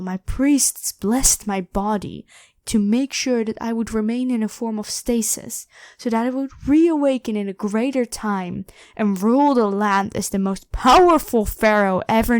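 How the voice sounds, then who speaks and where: A woman's voice: mid-range voice, a little mellow, no echo. Woman acting a haughty, evil pharaoh queen, dry close sound. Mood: proud, boastful. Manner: haughty, commanding, theatrically scornful. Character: evil ancient female pharaoh, imperious, demanding, controlling and ruthless. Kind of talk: scripted ASMR roleplay addressed to the listener.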